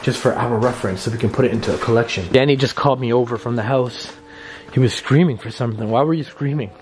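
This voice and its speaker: A young man vlogging as he explores an abandoned house, talking excitedly to his viewers and swearing now and then, recorded on a handheld camera. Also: mid-range voice, fast, echoey room. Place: dark abandoned house, indoors. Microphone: handheld camera mic.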